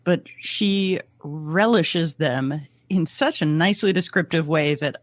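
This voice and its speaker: Female podcast co-host, mid-pitched, wry and conversational, very dry sound. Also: a little slow, no room sound. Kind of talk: casual chat.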